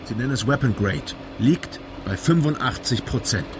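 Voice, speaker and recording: A man's voice: mid-range voice, expressive, normal speed, close to an everyday voice. An actor playing a terse male investigator in a radio crime drama, speaking in a dry, precise, understated manner. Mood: explanatory. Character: terse, factual male investigator on a car trip. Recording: clear car and road noise. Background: car, outdoor vehicle noise.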